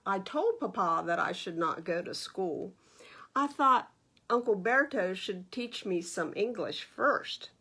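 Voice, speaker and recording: A woman's voice: sits high, fairly bright tone. A woman reading a picture book aloud for children at storytime, expressively and with questions to her listeners. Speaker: librarian reading stories to children. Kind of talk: read-aloud children's storytime narration with asides.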